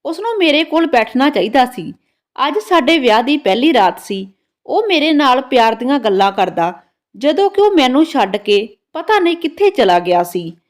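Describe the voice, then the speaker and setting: A woman narrating a scripted first-person story in a dramatic storytelling manner. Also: high-pitched.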